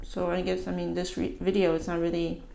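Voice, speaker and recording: A woman from the United States hosting her own channel, talking casually and thinking aloud in a halting, musing way while she doodles. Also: lowish voice, monotone, vocal fry, warm tone. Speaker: channel host.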